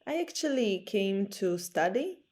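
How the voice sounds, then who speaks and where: A woman's voice: mid-range voice, sing-song, a little slow, warm tone. A woman, a podcast guest speaking English hesitantly with frequent fillers. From Slovakia. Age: 20s. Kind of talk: casual interview talk.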